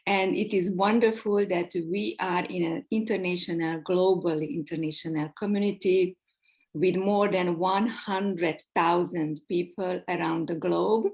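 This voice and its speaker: A woman with a Hungarian accent hosting a live online interview, speaking in a halting way with many fillers. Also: lowish voice, fairly even intonation, darkish tone. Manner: slow, halting, with frequent fillers. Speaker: host. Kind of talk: live social media interview.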